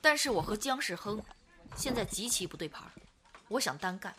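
A woman's voice: medium pitch, sing-song, brisk, a bit bright. A woman acting a strong-willed businessman in his early thirties in a Chinese radio drama. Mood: calm, scheming.

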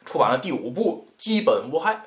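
A man's voice: fairly high pitch, sing-song, fairly quick. A man co-hosting a podcast, presenting information in an orderly way. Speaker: podcast co-host.

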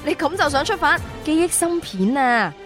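Lady doing bossy wry madam chief in sci-fi radio drama, highish voice, music behind. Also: very animated, normal speed. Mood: teasing, scolding. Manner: teasing, scolding, authoritative. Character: wry, bossy female police chief, older than staff.

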